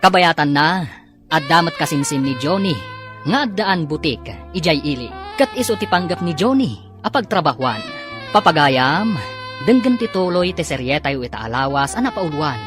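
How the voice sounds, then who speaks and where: A woman's voice: low-pitched, animated, normal speed. A Filipino woman with an Ilocano accent giving dramatic scripted narration as a radio drama announcer. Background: music.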